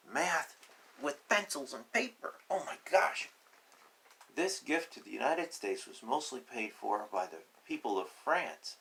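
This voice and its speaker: Animated man reading a picture book aloud. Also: medium pitch, gravelly, rough recording. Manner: deliberate, emphatic, pausing between short phrases. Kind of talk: read-aloud to children with casual asides.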